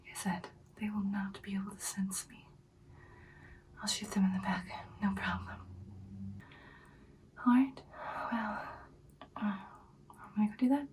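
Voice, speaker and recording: Whispered ASMR roleplay: woman as an ancient, self-assured vampire girlfriend, breathy, intimate, slightly distant from the mic over faint hiss. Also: mid-range voice, steady tone, measured pace, pauses often, darkish tone, natural voice. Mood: softly confident. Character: ancient confident female vampire girlfriend, expert sniper.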